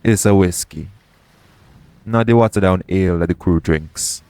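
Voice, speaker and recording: A man playing a shady, smooth-talking pirate captain in an audio roleplay, coaxing and self-assured in a conversational way. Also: sits low, moderate pace, darkish tone, slight background noise. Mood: teasing, coaxing. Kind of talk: second-person audio roleplay acting.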